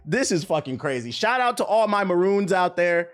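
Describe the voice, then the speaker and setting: Animated joking male streamer. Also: high-pitched, moderate pace. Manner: loose, joking, animated, trailing into mutters. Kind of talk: livestream reaction commentary. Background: faint background music.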